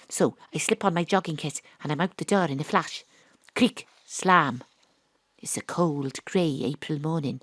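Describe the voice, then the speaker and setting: A woman's voice: mid-range voice, no room sound, close to an everyday voice. A woman playing a wry gumshoe detective. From Wales. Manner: dry, wry narration, exclaims loudly. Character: wisecracking female private eye, adult. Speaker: actor playing a private investigator. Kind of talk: comic noir radio drama. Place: home studio.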